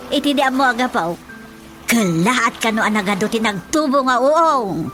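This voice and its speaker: A woman's voice: on the high side, animated, moderate pace, a bit bright. A woman playing a talkative, teasing wife in an Ilocano radio drama comedy, with an Ilocano accent. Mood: animated gossip. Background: music.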